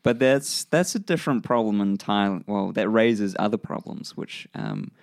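A man's voice: on the low side, lively intonation, normal speed, vocal fry, a little mellow, no echo. A man with a New Zealand accent co-hosting a casual science chat, explaining ideas with dry humor and frequent ums. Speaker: podcast co-host.

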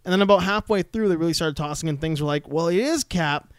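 A man's voice: a little high, quick pace, clear, resonant tone, no room sound, studio quality. A man in a casual, sweary chat about films and comics as a podcast co-host, emphatic and given to repeating phrases.

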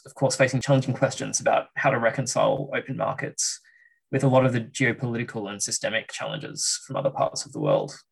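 Gravelly Australian man explaining policy. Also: medium pitch, rough recording. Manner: measured, explanatory, with frequent ums and uhs. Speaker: research fellow, podcast guest. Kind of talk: policy podcast interview.

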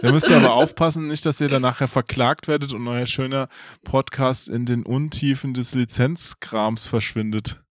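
A man with a mid-pitched voice interviews guests informally. His delivery is wry and joking, like relaxed banter between questions. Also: moderate pace. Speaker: podcast host, interviewer. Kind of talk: casual chat.

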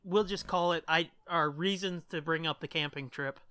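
A man's voice: a little high, moderate pace, bright, forceful tone, clean tone, no room sound, studio quality. A man hosting a casual podcast, chatting in slangy language with plenty of swearing. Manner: profane, slangy. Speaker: podcast host.

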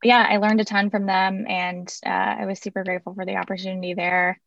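A raspy-voiced young woman from San Francisco, chatting as a guest in a radio interview with plenty of ums and restarts. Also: mid-range voice, little pitch movement, quick pace, fairly bright tone, muffled recording. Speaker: guest, music marketing head. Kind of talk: casual interview chat.

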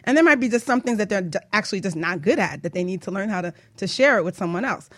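A woman's voice: mid-range voice, animated, quick pace, dry recording. A woman hosting a radio talk show in a casual, playful, informal way. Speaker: radio show host and business coach. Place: radio studio.

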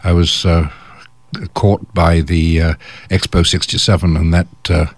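A man's voice: deep voice, level tone, measured pace, dry recording. An older man from England with an English accent, a guest on a radio talk show, telling stories about his career with a chatty, hesitant manner. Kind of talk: radio interview. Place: radio studio.

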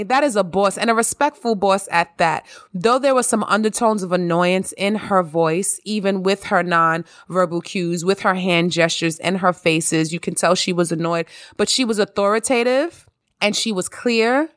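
A married woman hosting her own podcast, talking in an expressive, emphatic monologue with plenty of repetition. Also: medium pitch, moderate pace, no echo, clean studio sound. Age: adult, married. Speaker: podcast host. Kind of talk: casual monologue.

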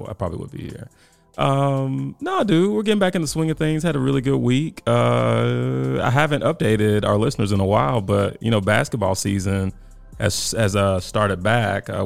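Hoarse podcast co-host, gravelly low voice, easygoing and laughing, recorded dry and close. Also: male voice, no echo. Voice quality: raspy, worn voice. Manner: laughs often, relaxed and chatty. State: hoarse from coaching. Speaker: podcast co-host and youth basketball coach. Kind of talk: casual chat.

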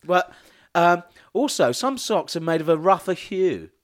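A man's voice: sits high, lively intonation, a little slow. A middle-aged man co-hosting a bawdy podcast, dry, mock-prim and incredulous. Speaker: podcast co-host. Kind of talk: bawdy casual chat.

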